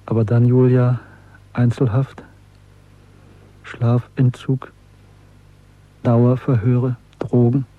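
A weary dissident in a radio play, resigned to doom. His medium-pitched voice is rough-edged, terse and restrained. The recording is dry and a little dull. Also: little pitch movement, slow, long pauses, no room sound. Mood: resigned, sombre. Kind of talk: German radio drama acting.

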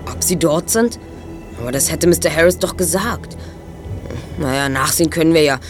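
A man's voice: a little high, moderate pace, soft background music, lightly acted. A man playing a keen, observant teenage boy detective in an audio drama, eagerly reasoning aloud. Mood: eager, suspicious. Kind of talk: amateur audio drama acting.

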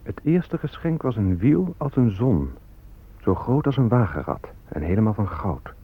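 An actor playing a courtly, plain-spoken Spanish soldier who chronicles events in a radio drama. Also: male voice, fairly low pitch, big pitch swings, moderate pace, husky, exaggerated voice. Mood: courtly, reporting. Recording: muffled phone-like old radio play.

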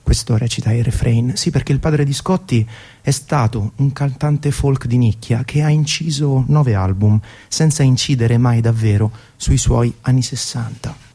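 A man's voice: mid-range voice, a little slow, dark tone. A man telling a scripted story as the host and narrator of a radio programme.

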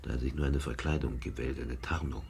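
An actor playing a bitter, scornful grown son in a radio drama, with a gravelly, sometimes breathy voice and a sardonic, muttering manner. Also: male voice, a bass voice, steady tone, a little fast, a little mellow, slight background noise. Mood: resentful, muttering.

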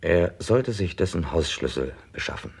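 A police inspector in a mid-century crime radio drama. His voice is very low, gravelly and husky. He is calm, methodical and quietly persuasive. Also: moderate pace, heavily acted. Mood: measured, persuasive. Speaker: actor in a crime radio play.

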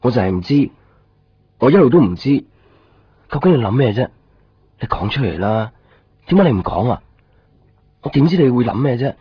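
A man's voice: medium pitch, big pitch swings, moderate pace, pauses often, slightly rough, dry recording. An actor playing a brooding, lonely young man in a Cantonese radio drama from 1990s Hong Kong, with a Hong Kong accent. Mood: frustrated. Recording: dull 1990s radio recording, music underneath.

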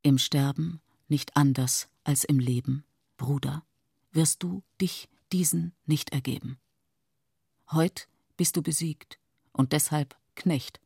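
Female narrator: creaky low-lit timbre, sober short scene settings, dry recording, radio drama. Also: steady tone, takes it slowly, warm tone, no room sound, heavily acted. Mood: solemn, hushed. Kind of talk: scripted radio play narration.

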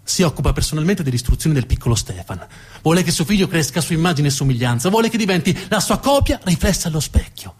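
A man's voice: on the high side, very animated, fast, husky. A man performing narrative theatre as a stage storyteller, with dramatic delivery and emphatic repetition.

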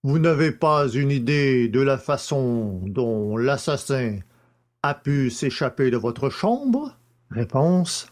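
A man reading a magistrate's questions deliberately in a dramatised audiobook. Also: medium pitch, sing-song.

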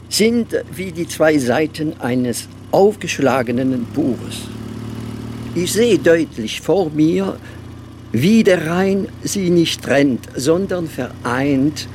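An actor playing a reflective, wry Alsatian writer in a German radio drama, speaking unhurriedly with a gravelly voice. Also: male voice, a little high, noisy background. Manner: unhurried, mixes in French words.

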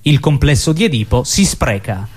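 A man's voice: medium pitch, very animated, normal speed, breathy edge. A man performing scripted absurdist theatre in a mock-solemn, declamatory way. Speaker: stage performer.

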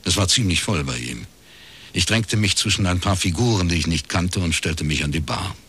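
An actor playing a wry, laconic private detective with a husky voice, in radio crime drama narration and dialogue. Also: male voice, low-pitched, moderate pace, full character voice. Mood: dry, matter-of-fact. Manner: dry, deadpan, understated. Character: wry, laconic male East Berlin private detective, ex-academic.

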